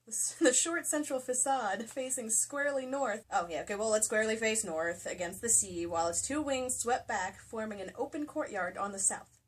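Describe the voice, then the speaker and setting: Woman, high voice, comedic dramatic book reading, jokey, casual asides, co-host. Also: normal speed. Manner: jokey, breaks into laughter while reading. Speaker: co-host reading a romance novel aloud. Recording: faint background noise, amateur home video.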